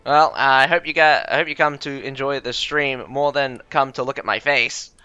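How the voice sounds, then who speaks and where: Bright, clear-voiced young male caster. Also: medium pitch, steady tone, normal speed, lo-fi sound, soft background music. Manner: chatty, self-deprecating, answers chat. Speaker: game caster and streamer. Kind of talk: live game commentary with chat banter.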